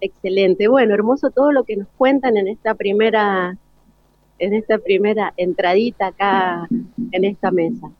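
An Argentine woman hosts a live radio interview segment at a mid-range pitch. The sound is dull and lo-fi, close and dry, like a broadcast link. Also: fairly even intonation, moderate pace, dry recording. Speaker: radio host. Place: school event, remote broadcast.

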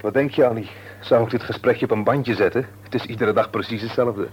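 An actor playing an easygoing, dutiful married shopkeeper in a radio serial, talking naturally with a mildly wry manner. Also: male voice, medium pitch, steady tone, fairly quick, slight background noise, natural voice. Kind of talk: Dutch radio serial drama acting.

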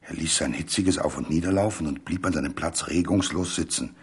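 A man narrating a literary story for radio in a deliberate, suspenseful, dramatic way. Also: a bass voice, darkish tone, husky.

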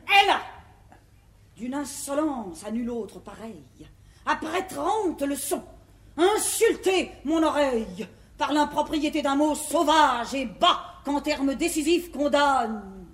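An actress playing an imperious, pedantic bourgeois wife, declaiming pompously in a classical French verse comedy. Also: female voice, high-pitched, lively intonation, slow pace. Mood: indignant. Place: theatre stage. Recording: roomy 1950s theatre recording, faint noise.

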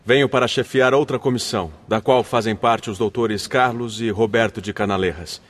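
A man's voice: lowish voice, fairly even intonation, normal speed, long reverb tail, close to an everyday voice. A man acting a courteous, businesslike commission leader in a radio drama, formal in speech.

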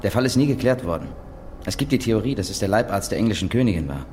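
Actor as an earnest, low-voiced reporter. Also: male voice, lively intonation, fast talker, darkish tone, a little hiss or hum, lightly acted. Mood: intent. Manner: hesitant but insistent, questioning. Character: earnest, persistent male newspaper reporter, a war veteran. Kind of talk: naturalistic German radio drama acting.

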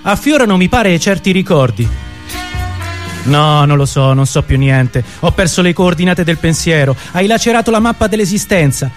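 A man performing a dramatic radio monologue in halting, theatrical fragments. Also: on the high side, animated. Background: music.

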